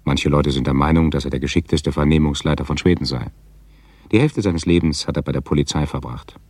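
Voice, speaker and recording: A man reading a crime novel aloud in a calm, measured way, gravelly voice. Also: a bass voice, no room sound. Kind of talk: archive audiobook reading.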